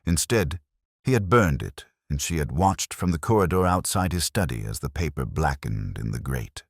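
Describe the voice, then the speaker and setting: A man narrating a scripted first-person detective story in a measured, reflective, literary manner. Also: extremely low pitch, lively intonation, no room sound, studio quality. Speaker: audio drama narrator.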